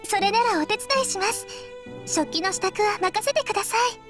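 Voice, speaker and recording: A woman playing a polite, timid, gentle teenage schoolgirl in a Japanese visual novel. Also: very high-pitched, rapid-fire, over music. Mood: cheerful, polite.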